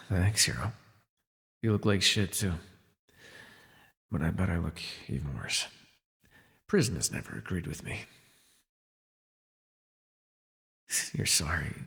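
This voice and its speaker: A jaded, imprisoned telepath villain , acted by a man, speaks in a low, gravelly voice that rings with long reverb. He is wry and sardonic, sighing wearily through an ASMR superhero audio drama. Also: animated, unhurried, pauses often, a bit bright, full character voice. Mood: weary, wry. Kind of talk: ASMR audio roleplay acting.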